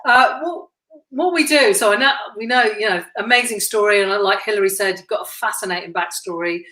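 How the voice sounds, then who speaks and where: Chatty woman host in an echoey room. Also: fairly quick. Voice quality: self-described deep voice. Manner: chatty and warm, laughs often. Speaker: podcast host. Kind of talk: casual interview chat. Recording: roomy echo.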